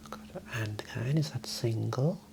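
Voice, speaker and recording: A man whispering slow, gentle instructions in an ASMR medical exam role play, softly praising between steps. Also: medium pitch, creaky, background noise. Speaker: ASMR creator playing a clinician.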